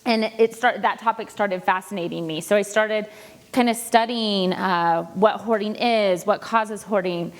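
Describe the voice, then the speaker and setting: A woman counselor giving a casual lecture to a live audience, with a loud voice, chatty and full of fillers. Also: medium pitch, a little slow, long reverb tail. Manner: chatty, with many fillers and restarts. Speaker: presenter and counselor. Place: large conference room.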